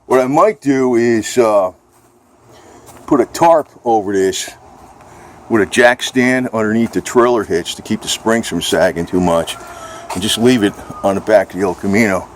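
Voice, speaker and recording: A man's voice: lowish voice, moderate pace, slight background noise. An American man chatting casually off the cuff in a rambling, informal, folksy way. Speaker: vlogger.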